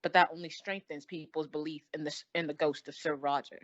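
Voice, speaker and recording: A man's voice: on the high side, fairly even intonation, a little fast, a bit bright, lightly acted. A man playing an earnest, deferential village sheriff in an old-time style radio mystery. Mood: earnest, worried. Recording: dull lo-fi radio play recording.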